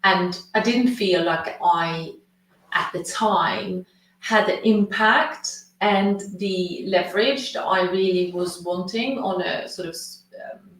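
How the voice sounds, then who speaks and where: A middle-aged woman from Sweden, leading a class as a coach in an earnest, explanatory, slightly halting way. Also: lowish voice, a bit bright. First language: Swedish. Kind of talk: informal presentation to an online class. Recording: very echoey room, distant mic.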